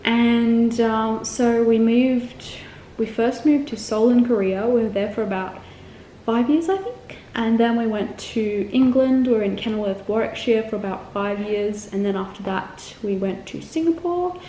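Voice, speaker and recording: A woman's voice: on the high side, a little slow, warm tone. A young Australian woman vlogging casually to camera, rambling with frequent ums and self-corrections. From Australia, Melbourne. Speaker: travel vlogger. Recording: echoey room with faint ambient noise.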